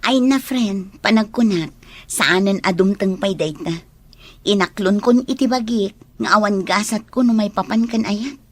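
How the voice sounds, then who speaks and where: A woman's voice: mid-range voice, a little slow, natural voice. A woman acting as a defensive, self-reliant single mother in an audio drama, with an Ilocano accent.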